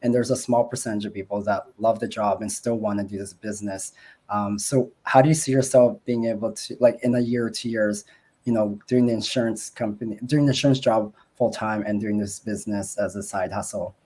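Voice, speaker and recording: A man in his forties, from the Philippines, speaks on a video call with a voice made raspy by a cold. He rambles, with lots of fillers. His voice is low. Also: fairly even intonation, fairly quick, dark tone. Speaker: guest asking questions. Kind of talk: casual video call chat.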